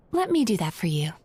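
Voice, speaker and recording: An actress playing a gentle, playful young dream therapist in a video game, speaking in a soft, breathy, coaxing and reassuring way. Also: female voice, on the low side, heavily acted. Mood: warm, inviting. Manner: coaxing, unhurried, calmly reassuring. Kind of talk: soft, soothing guided-hypnosis game dialogue.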